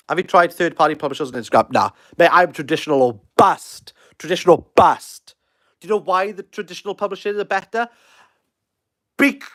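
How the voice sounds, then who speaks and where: A man's voice: on the high side, fairly bright tone. A Welsh man in his thirties hosting a casual livestream, rambling and self-deprecating as he answers viewer comments. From Wales. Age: 30s. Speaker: livestream host.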